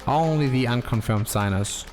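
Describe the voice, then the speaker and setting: A man streaming himself coding, thinking aloud in a slow, halting way and reading code out as he types. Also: mid-range voice, a little mellow, faint music behind. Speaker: programmer streaming live coding.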